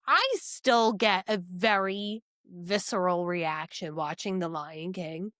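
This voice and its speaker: A woman's voice: lowish voice, steady tone, takes it slowly, a bit bright, dry recording, clean studio sound. A woman co-hosting a podcast, chatting casually and emphatically, with some swearing. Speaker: podcast co-host. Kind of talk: casual book discussion chat.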